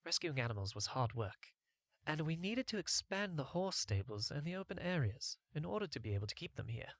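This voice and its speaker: A man's voice: medium pitch, a bit bright, dry recording, clean studio sound. A man reading a scripted first-person story as an audiobook narrator.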